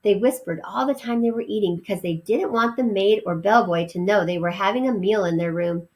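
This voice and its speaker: A woman's voice: medium pitch, brisk, darkish tone, lightly acted. A small male mouse from a children's storybook, acted out by a woman reader. He is eager and a little indignant, complaining and pleading his case like a youngster who wants his way. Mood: wistful.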